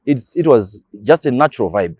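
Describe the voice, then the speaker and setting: A Shona-speaking man with a Zimbabwean accent, talking casually as an interview guest and explaining things in animated, slangy language with acted-out examples. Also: mid-range voice, moderate pace, dry recording, studio quality. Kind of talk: casual interview talk mixing Shona and English.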